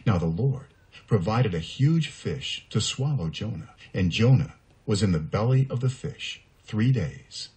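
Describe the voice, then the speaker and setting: A man reading scripture as a dramatic narrator for a children's puppet show, with an expressive delivery. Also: on the low side, unhurried.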